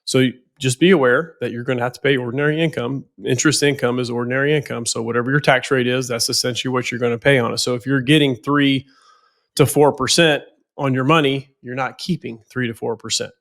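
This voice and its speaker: Man, nasal from a head cold, flat even delivery, halting with repeated words, podcast chat. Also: medium pitch. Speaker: financial planner. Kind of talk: casual podcast talk.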